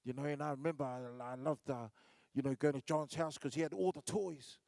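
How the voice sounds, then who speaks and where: A man's voice: mid-range voice, fairly even intonation, brisk, gravelly, a little mellow, dry recording, studio quality. A man from New Zealand performing live standup comedy as MC, telling stories with lots of fillers and restarts. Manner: storytelling with acted-out voices, many fillers and restarts. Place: large concert hall stage.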